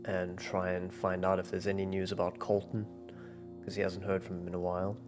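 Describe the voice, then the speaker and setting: Male tabletop player, deep voice, casual conversational delivery over faint music. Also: completely flat delivery, fairly quick. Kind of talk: casual game table chat.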